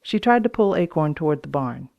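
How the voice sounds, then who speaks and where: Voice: medium pitch, expressive, a little fast, vocal fry. A reader giving plain scripted narration of a children's storybook, in a slightly dull, lo-fi recording. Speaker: audiobook reader.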